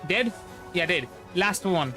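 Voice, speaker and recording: A man's voice: high voice, expressive, slow pace. A young man from India with a Bengali accent, a native Bengali speaker, giving casual, hesitant and rambling gameplay commentary as a livestreamer. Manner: hesitant, rambling, repeats himself. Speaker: livestreamer, VTuber. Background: game music.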